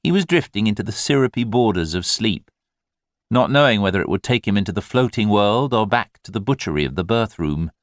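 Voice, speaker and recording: A man reading literary fiction as an audiobook narrator, in an expressive, measured storytelling manner. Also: lowish voice, no room sound, clean studio sound.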